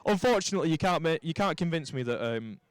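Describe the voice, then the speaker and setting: A young man from St Helens, giving lively live rugby commentary with chatty asides. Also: fairly high pitch, fast talker, smooth, no echo, studio quality. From St Helens, England. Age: young adult.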